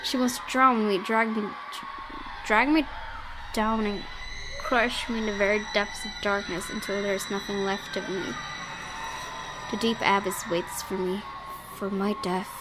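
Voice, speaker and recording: A woman with an expressive, excitable delivery, reading a horror visual novel aloud as a let's play host and reacting to it. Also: medium pitch, unhurried, background noise. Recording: loud game music behind voice. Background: loud game music.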